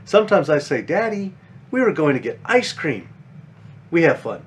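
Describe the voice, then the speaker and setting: Man reading as an earnest little bunny girl, lively pitch. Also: moderate pace, slight background noise. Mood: earnest, caring. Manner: simple, earnest, explaining to a younger child. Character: five-year-old big sister bunny, earnest, caring, eager helper. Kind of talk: children's picture book read aloud.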